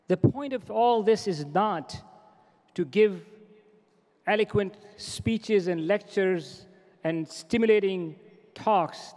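A middle-aged man gives a formal welcome speech from a podium, earnest and exhorting, often repeating his phrases. His voice sits high for a man. Podium microphones catch him, and a long reverb trails after each phrase in the large hall. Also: darkish tone. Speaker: organization president giving a welcome address. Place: large convention hall stage.

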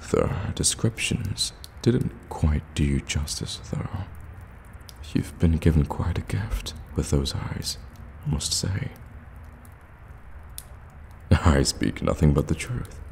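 A man performs a suave evil warlock for an ASMR audio roleplay, with an extremely low voice full of gravel. He speaks slowly and intimately, courteous yet teasing, as if coaxing a customer into a bargain. Long cave-like reverb surrounds him. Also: exaggerated voice. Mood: silky, reassuring. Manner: soft-spoken flattery, measured and teasing. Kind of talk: ASMR roleplay, intimate direct address to the listener. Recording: cavernous reverb, ambient background noise.

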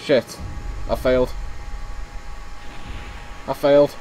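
A man commentating casually on his own gameplay, slightly hoarse as he is losing his voice, muttering reactions as he plays. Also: medium pitch, steady tone, slow, dark tone, slight background noise, rough recording. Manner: chatty, mutters reactions while playing. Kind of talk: casual let's-play commentary.

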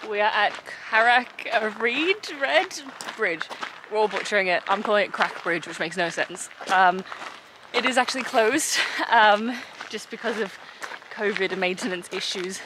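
A woman's voice: mid-range voice, moderate pace, noisy background. A young woman vlogging her trip outdoors, holding the camera at arm's length, chatty and self-mocking with many ums. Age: young adult. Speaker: travel vlogger. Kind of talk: casual travel vlog. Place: outdoors by the coast and in town. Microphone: handheld camera at arm's length.

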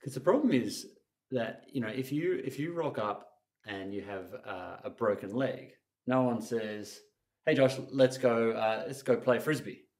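A man's voice: medium pitch, lively intonation, a little slow, darkish tone, reverberant. A man, hosting a podcast interview, supportive and prompting his guest with short replies. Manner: supportive, frequent short backchannels. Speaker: podcast host. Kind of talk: interviewing, active listening. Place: bright studio room, indoors. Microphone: desk mic on stand.

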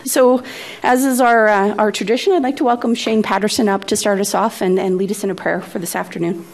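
A woman's voice: mid-range voice, fairly even intonation, fairly quick. A woman with a mid-range voice welcomes the audience. She speaks casually and hesitantly, with lots of ums, from the front of a conference hall. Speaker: regional director, emcee. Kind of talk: event welcome.

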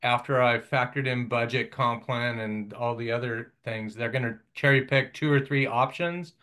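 A man giving a quick, matter-of-fact update as community development director over a video call. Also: medium pitch, fairly even intonation, a bit bright. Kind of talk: meeting update.

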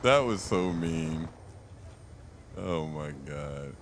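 A man's voice: extremely low pitch, expressive, extremely slow. A man casually chatting and teasing while playing a shooter game, laughing and swearing. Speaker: gamer streamer. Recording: game noise behind voice. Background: gunfire and game sounds.

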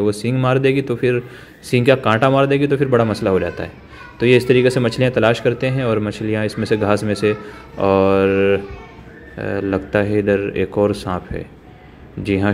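Male camera-holder, deep-ish, filler-heavy commentary outdoors, faint ambience. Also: darkish tone, a little hiss or hum. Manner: chatty, many fillers, exclaims at surprises. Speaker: vlogger. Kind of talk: casual commentary behind the camera. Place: outdoors by a village pond.

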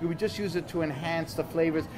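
A man in his fifties, a chef being interviewed, explaining things conversationally and haltingly, often repeating words. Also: on the high side, steady tone. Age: 50s. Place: store counter indoors.

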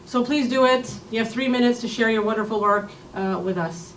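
A woman's voice: fairly high pitch, brisk, fairly bright tone, a little hiss or hum. A woman hosting an open mic at a community arts center, speaking into a podium mic in a chatty, encouraging way as she introduces the featured poet. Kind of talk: event emcee introduction. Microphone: podium mic on a stand. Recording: echoey room, mic heard from a distance.

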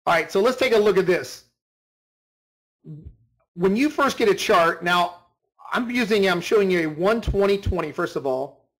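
A man's voice: sits high, animated, moderate pace, pauses often, rough recording. A man leading an informal screen-share tutorial as a trading instructor, talking emphatically, repeating himself and checking that listeners follow.

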